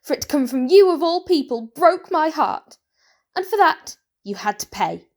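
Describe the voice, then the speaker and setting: A proud, scolding auntie with a murderous grudge acted by a woman speaks in a high voice that swings freely with indignation. She is theatrical and wounded, made for a comic whodunnit. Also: very animated, normal speed, a little mellow. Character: scolding, wounded aunt turned murderer, proud of her cooking. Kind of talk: comic audio drama acting.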